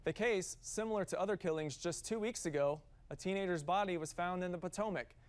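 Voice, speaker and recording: A man's voice: sits high, a little fast. A man with an American accent reading scripted television news as an anchor.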